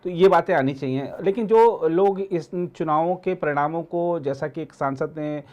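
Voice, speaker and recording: A man speaking as an editor and commentator in a political discussion, halting and often repeating words and phrases. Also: on the high side, a little mellow, lo-fi sound.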